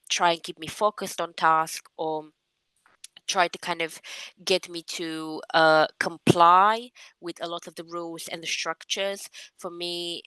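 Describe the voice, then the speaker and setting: A young woman from Romania with a low voice and a crisp, clear tone. She answers questions thoughtfully, with measured phrasing and frequent pauses to find her words. The sound is dry and close. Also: a bit bright, no room sound, poor audio. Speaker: guest. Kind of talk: reflective interview answers.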